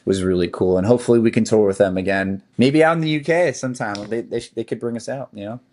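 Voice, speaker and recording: A man's voice: lowish voice, quick pace. Laid-back rambling male singer. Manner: relaxed and rambling, with frequent "like" and "um". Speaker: band's singer, podcast guest. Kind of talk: casual interview chat.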